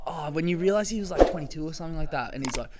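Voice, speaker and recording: A man in his thirties, chatting casually as a podcast guest, full of filler words and quick laughs. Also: on the high side, lively intonation, heavy background noise. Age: 30s. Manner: laid-back, lots of filler likes, laughs often. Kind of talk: casual chat.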